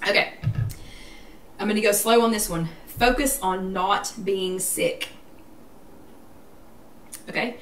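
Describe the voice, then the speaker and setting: A woman's voice: medium pitch, fairly even intonation, slow pace, long pauses. Chatty woman host in an echoey room. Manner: chatty, emphatic, repeats herself. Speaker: vlog host. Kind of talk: casual live chat. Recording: echoey room, distant mic, faint noise.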